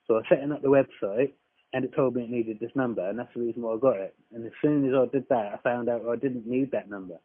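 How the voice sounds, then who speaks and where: A man's voice: medium pitch, fast talker, dry recording. A man making an argumentative complaint over the phone, insistent, blunt and repetitive. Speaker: caller disputing a tax account. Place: phone call, recorded. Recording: dry, dull lo-fi phone call recording.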